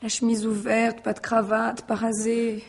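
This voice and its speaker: An actress in a French radio mystery drama, playing a suspect's anxious mistress with an intimate, pleading, reproachful manner. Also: female voice, a little high, monotone, a little slow, vocal fry, husky, exaggerated voice. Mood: concerned.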